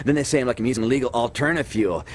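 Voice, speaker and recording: A man's voice: mid-range voice, racing, slight background noise, lightly acted. An actor playing a jittery, paranoid, defensive racer in a video game, rambling in twitchy slang. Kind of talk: comic video game cutscene acting. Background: music.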